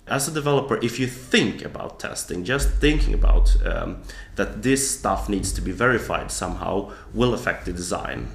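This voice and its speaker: A man with a low, gravelly voice talks through his ideas in a podcast interview. He explains things thoughtfully and often restarts his sentences. The sound is roomy and echoey. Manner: thoughtful, with frequent restarts and fillers. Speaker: guest author.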